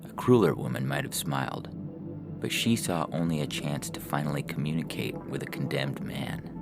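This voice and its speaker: A man narrates a scripted story in an extremely deep voice. His tone is full of gravelly creak, and loud music runs behind the reading. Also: expressive, normal speed.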